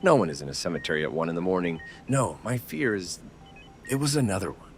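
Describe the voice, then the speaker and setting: Male police officer, horror drama: very deep, gruff, foreboding, widely varied intonation. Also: animated, quick pace, slight background noise. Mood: uneasy. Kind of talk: horror audio drama acting.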